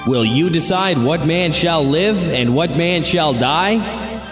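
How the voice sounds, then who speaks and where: A grave Christmas ghost played by a man pronounces judgment in a solemn, declamatory way. The voice is a little high for a man and slow, washed in long reverb. It is radio drama, stern and reproving. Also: animated. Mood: stern rebuke. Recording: roomy long reverb under clear music.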